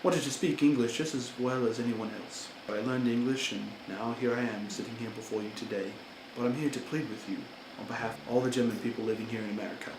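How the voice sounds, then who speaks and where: A man's voice: medium pitch, fairly even intonation, quick pace, few pauses, close to an everyday voice. A man acting an earnest, indignant German-born immigrant who pleads for fair treatment, in an amateur role-play monologue. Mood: pleading. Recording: echoey room with background noise.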